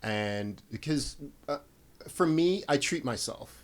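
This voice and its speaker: A man's voice: mid-range voice, lively intonation, slow. A man chatting casually as a podcast host. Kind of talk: casual chat.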